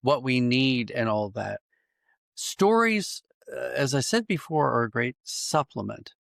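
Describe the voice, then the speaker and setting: A man answering interview questions conversationally as a writer, thoughtful and halting, circling back to rephrase as he goes. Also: mid-range voice, sing-song, creaky, a bit bright, no room sound, clean studio sound. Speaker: podcast guest, writer and dramatist.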